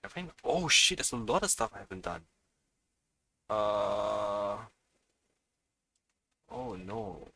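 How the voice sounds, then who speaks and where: A man's voice: on the low side, very animated, takes it slowly, pauses often, sharp tone. A man live-streaming a video game with casual, unscripted commentary, muttering to himself and swearing freely.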